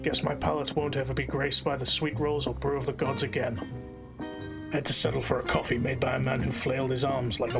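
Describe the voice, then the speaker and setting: An actor playing a hard-boiled, self-important lawman, narrating a noir audio drama in a pompous, brooding deadpan. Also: mid-range voice, vocal fry, lightly acted. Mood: wry, disgusted.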